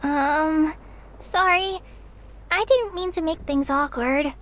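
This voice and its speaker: A timid girl in a game cutscene. She is meek and hesitant in a very high voice, with light music behind. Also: slow pace, a bit bright. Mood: awkward apologetic. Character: shy, timid, apologetic girl.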